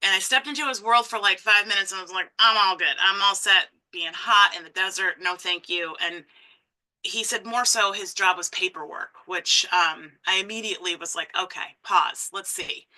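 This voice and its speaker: A woman's voice: medium pitch, normal speed, rough recording. A woman in her thirties from Massachusetts, a conservation director giving a personal talk full of ums and restarts. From Massachusetts, USA. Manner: warm storytelling with frequent ums and restarts.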